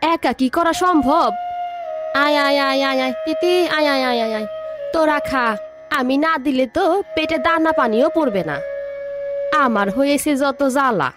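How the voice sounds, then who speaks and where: Woman doing a grumbling village housewife, cartoon, high voice, unhurried, background music. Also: lively intonation. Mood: scolding. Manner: scolding, complaining. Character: overworked complaining village housewife, a mother.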